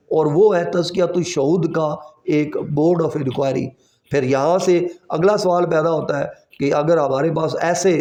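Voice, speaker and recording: Echoey, higher-pitched Pakistani man lecturing. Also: creaky, darkish tone. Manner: didactic, repeats points and asks rhetorical questions; switches between Urdu and slow English quotations. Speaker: law lecturer. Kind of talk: explanatory exam-prep lecture. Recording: echoey, reverberant room.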